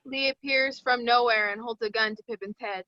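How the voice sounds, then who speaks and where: A woman's voice: on the high side, steady tone, normal speed, muffled recording. A woman reading screenplay stage directions as the narrator at a table read.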